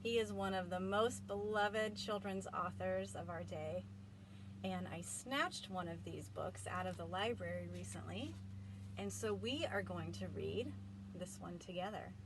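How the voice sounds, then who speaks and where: A woman reading aloud to young children like a school librarian, with an expressive, clearly enunciated delivery. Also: fairly low pitch, measured pace, background noise.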